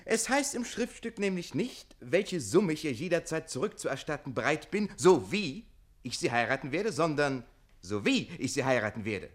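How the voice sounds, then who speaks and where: An actor playing a cocky, quick-witted young man defending himself in a comic radio play, indignant and theatrical. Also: fairly high pitch, very animated. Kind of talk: German radio play comedy acting.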